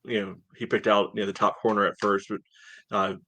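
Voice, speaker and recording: A man's voice: fairly low pitch, flat delivery, fairly quick, pushed and bright. A man co-hosting a soccer podcast, casually analyzing play in a hesitant, conversational way with frequent filler phrases. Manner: hedging, conversational, peppered with "you know".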